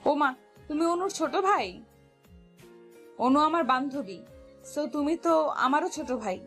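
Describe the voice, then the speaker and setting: A woman's voice: high-pitched, lively intonation, unhurried, a bit bright, pitched above the performer's own voice, full character voice. A woman voicing a playful, teasing young woman in a romantic audio story. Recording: music bed behind voice.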